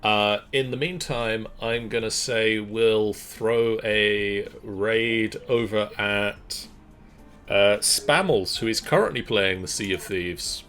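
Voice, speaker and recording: Guy hosting a stream, middle aged, lowish bright voice, chatty but keeps pausing. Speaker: streamer and host. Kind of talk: casual livestream announcements. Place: small room at home. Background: faint music.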